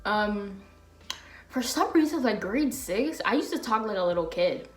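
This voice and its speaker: A woman's voice: medium pitch, lively intonation, unhurried. A young woman talking casually and candidly to camera as a vlogger, in a slangy way with lots of fillers. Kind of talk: candid confessional chat to camera.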